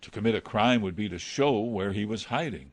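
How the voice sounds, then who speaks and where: A man's voice: lowish voice, lively intonation, fairly quick, no room sound. A man playing an anxious, loyal butler, hesitant, formal and respectful, in a dramatised reading of a classic novel. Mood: anxious pleading. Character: deferential, anxious male butler, pleading and loyal.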